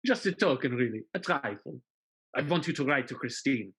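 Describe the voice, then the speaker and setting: A man's voice: mid-range voice, lively intonation, normal speed, a bit bright, slight background noise. A man acts out a theatrical male villain in a musical melodrama. He is pompous and gloating, pronouncing threats with menace and grand flourish. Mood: commanding.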